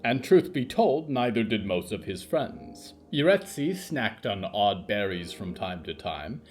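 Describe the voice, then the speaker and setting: A low-voiced man narrates eerie audio fiction over soft music. His pace is slow and measured, and his pitch swings theatrically between dramatic pauses. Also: sing-song. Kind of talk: scripted audio fiction narration, literary horror storytelling.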